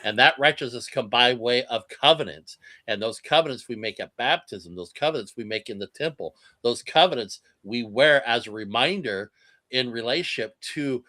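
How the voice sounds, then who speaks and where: A man's voice: mid-range voice, lively intonation, moderate pace, bright, forceful tone. A man explaining religious doctrine in an earnest, emphatic way on a livestream discussion. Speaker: co-host.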